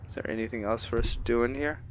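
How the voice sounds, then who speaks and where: A man giving casual let's-play commentary, curious and thinking out loud, now and then dropping to a hushed whisper. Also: mid-range voice, fairly even intonation, rapid-fire, muffled recording, slight background noise. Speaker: gameplay commentator.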